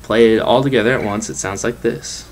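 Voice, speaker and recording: A man with a bass voice teaching a guitar lesson, explaining chords in a quick, relaxed way with fillers. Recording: guitar playing behind. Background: acoustic guitar.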